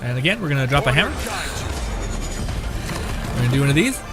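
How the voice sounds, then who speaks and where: A man's voice: mid-range voice, very animated, fast. A young man giving casual live gaming commentary as a streamer, slangy, wry and rambling. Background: game music.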